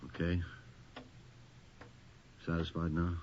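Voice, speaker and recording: An actor playing a middle-aged, world-weary, skeptical police detective in a radio horror drama, with a dry, sardonic delivery. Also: male voice, sits low, completely flat delivery, slow pace, a little mellow. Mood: exasperated. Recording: dull, noisy old radio recording.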